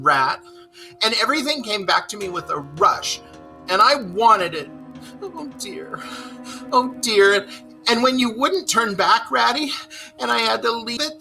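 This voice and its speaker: A man voicing a small, homesick storybook mole who pleads in a halting, plaintive, crying voice. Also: sharp tone, full character voice, rough recording. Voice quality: high-pitched, broken by sobs. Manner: halting, plaintive, repeating himself. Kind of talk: storybook read-aloud character voice.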